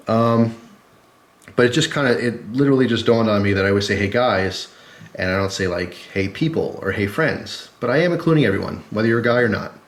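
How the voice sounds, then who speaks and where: A man with a stuffy, head-cold voice chatting casually and informally to camera as the host of a gear review vlog, rambling along in a conversational way. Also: fairly low pitch. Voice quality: congested, stuffy-headed. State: sick with a cold and flu. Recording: echoey, roomy sound.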